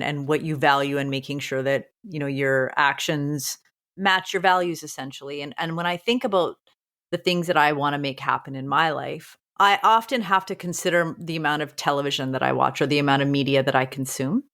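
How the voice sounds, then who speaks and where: Low-voiced woman teacher thinking aloud. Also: brisk, fairly bright tone. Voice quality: strong voice. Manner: thinks aloud with restarts, earnest. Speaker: podcast co-host. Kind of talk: reflective casual conversation.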